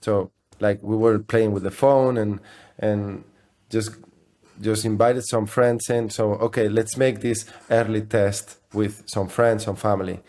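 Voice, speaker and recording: A man with a fairly low voice, speaking English as a second language. He talks as an interview guest, recounting things conversationally, often restarting and repeating his phrases. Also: steady tone, unhurried, darkish tone. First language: not English. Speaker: interview guest, software company CEO.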